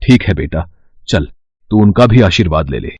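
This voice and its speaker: A man's voice: low voice, expressive, normal speed, husky, no echo, voice pushed down. A man voicing a humble servant father, kindly and encouraging, in a children's moral story. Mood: proud, kindly.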